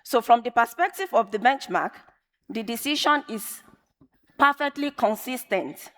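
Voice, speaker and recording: Woman speaker, Yoruba first language, higher voice, measured emphatic delivery, long hall reverb. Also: lively intonation, fairly bright tone. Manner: measured, emphatic, repeats phrases for effect. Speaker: conference speaker. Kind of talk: technical conference talk. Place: large conference hall.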